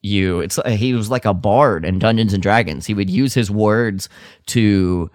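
A man's voice: fairly low pitch, normal speed, dry recording, clean studio sound. A man co-hosting a podcast in casual fan discussion, explaining things with plenty of "like". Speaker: podcast co-host.

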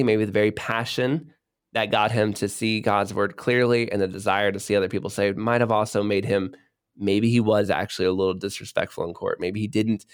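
A man co-hosting a podcast, telling a history story in a casual, lively way and voicing the people in it. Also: on the low side, no echo, clean studio sound. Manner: animated retelling with voiced quotes and fillers.

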